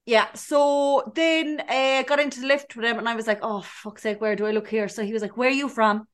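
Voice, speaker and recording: A young Irish woman from Cork, talking in a high, quick voice. She tells her stories with gusto and acts out every line of dialogue. Casual podcast chat between friends. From Ireland. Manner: animated storyteller, acts out dialogue. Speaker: podcast co-host.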